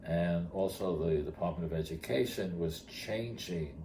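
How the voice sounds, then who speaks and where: A man's voice: sits low, unhurried. An older man telling a personal story conversationally, in plainspoken, short emphatic phrases. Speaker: retired teacher telling his story. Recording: clearly noisy background.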